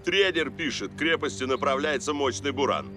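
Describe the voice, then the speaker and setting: A man voicing a serious, steady football coach in a dubbed cartoon, instructive and matter-of-fact. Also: mid-range voice, fairly quick, faint music behind.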